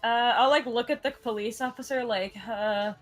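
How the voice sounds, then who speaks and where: A woman's voice: on the high side, normal speed, faint music behind. A woman playing a tabletop game, casually saying what her character does.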